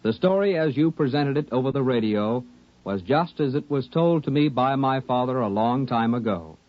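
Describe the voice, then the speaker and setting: A man reading scripted announcements as a formal, measured 1950s radio announcer. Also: medium pitch, animated. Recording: muffled 1950s radio recording.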